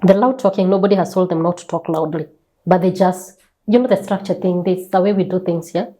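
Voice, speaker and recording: Kenyan lady chatting, lowish mellow voice, repeats stuff for emphasis, lots of rhetorical questions. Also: fairly even intonation, a little fast. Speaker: guest author. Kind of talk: animated conversational storytelling.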